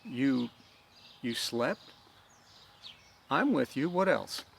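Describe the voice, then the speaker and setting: A man voicing an incredulous older swordmaker, a gruffly fond mentor questioning his pupil in a storybook reading. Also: medium pitch, big pitch swings, extremely slow, fairly bright tone, a little hiss or hum, exaggerated voice. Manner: disbelieving, questioning.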